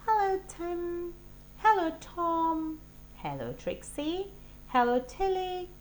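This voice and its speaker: A woman's voice: very high-pitched, sing-song, takes it slowly, slight background noise, voice pushed up, heavily acted. A woman reading the part of a motherly, practical and concerned mum in a children's storybook. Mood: greeting.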